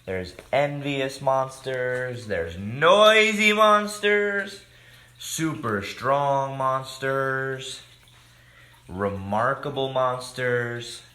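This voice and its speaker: A man leads story time for young children in an echoey room. He reads expressively at an unhurried pace, in a mid-range voice, and chats casually to the listeners between lines. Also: mid-range voice. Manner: expressive, simple addresses to children.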